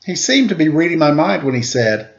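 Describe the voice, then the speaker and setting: A man's voice: on the high side, very animated, a little fast, gravelly, highs cut off. A man speaking in a playful, informal storytelling style for children, into a computer microphone. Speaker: storyteller, host reading picture books aloud. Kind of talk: casual chatty introduction and expressive read-aloud for children.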